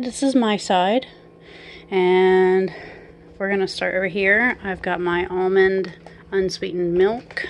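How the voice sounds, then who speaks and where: Lady in her 30s doing a grocery haul in her kitchen, lowish voice, lots of ums, camera mic. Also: slight background noise. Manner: chatty, relaxed, frequent ums and self-corrections. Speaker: vlogger and mother. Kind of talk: casual grocery haul walkthrough. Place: home kitchen, indoors.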